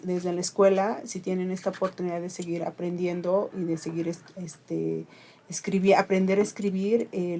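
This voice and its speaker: A middle-aged woman whose first language is Ayuujk speaks Spanish with a Mixe accent, mellow and warm in tone. She talks earnestly and reflectively, pausing on fillers as she thinks aloud in a radio conversation. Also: medium pitch. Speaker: radio host.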